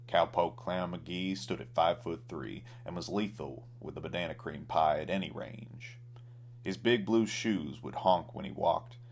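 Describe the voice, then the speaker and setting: A man with a low voice reads a scripted comic Western tale. He delivers it as a storyteller, dramatically and in rhythm. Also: slight background noise. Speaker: storyteller, author reading own ballad.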